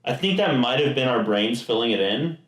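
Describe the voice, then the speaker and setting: A man co-hosting a comedic podcast, chatting casually about films in a rambling, halting, emphatic way. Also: mid-range voice, lively intonation, fairly bright tone. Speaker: podcast co-host. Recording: echoey room.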